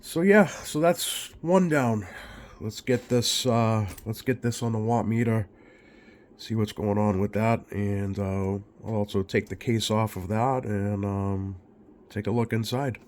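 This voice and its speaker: Man, slow-paced, relaxed tone, casual technician narration, hesitant, thinking out loud, faint background noise. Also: on the low side. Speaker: radio repair technician hosting a bench video. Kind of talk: casual off-the-cuff repair narration.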